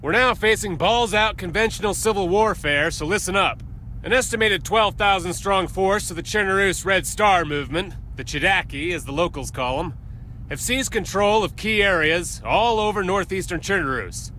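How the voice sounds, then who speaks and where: Sharp, blunt American military officer. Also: male voice, high-pitched, moderate pace. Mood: brisk, urgent. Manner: blunt, commanding. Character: brisk, businesslike US Marine officer briefing his men. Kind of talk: military slide briefing in a video game. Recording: clear background vehicle noise.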